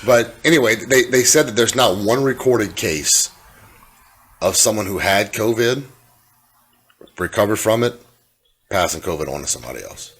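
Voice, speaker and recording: Man, very low voice, soothing, halting, repeats words, casual podcast co-host. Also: expressive, fairly bright tone, breathy edge. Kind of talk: casual chat.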